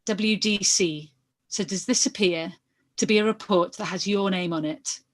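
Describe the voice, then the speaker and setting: A woman playing a female lawyer in a verbatim hearing reading. She questions formally and methodically, in a measured, probing manner at a middling pitch. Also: lightly acted.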